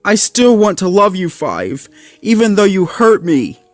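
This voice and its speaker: Squeaky loud little dog boy, yelling mad and teary, storybook pup with no room echo at all. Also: a little slow, soft background music. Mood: tearful. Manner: shouting through tears, then choked and pleading. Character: young male dalmatian pup, clumsy, hurt and furious.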